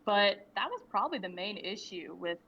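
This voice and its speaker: A woman's voice: medium pitch, very animated, moderate pace, bright, forceful tone, a little hiss or hum. A young woman, a student animator, answering interview questions in a candid, rambling way with easy laughs. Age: young adult. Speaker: guest, indie animator and creator. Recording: slightly muffled lo-fi audio with faint background ambience.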